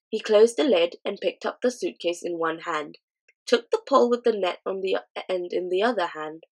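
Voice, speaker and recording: Give me a woman narrating a children's storybook with a mid-pitched voice. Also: poor audio. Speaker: audiobook reader.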